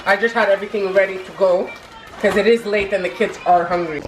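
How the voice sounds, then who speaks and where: A woman's voice: medium pitch, a little fast, a crowd in the background, background noise. A woman in her thirties with a stuffy, congested voice, casually talking through a recipe step by step in her home kitchen. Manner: relaxed, chatty step-by-step explaining. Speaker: home cook filming a cooking vlog. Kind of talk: casual cooking narration. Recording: echoey kitchen with music underneath.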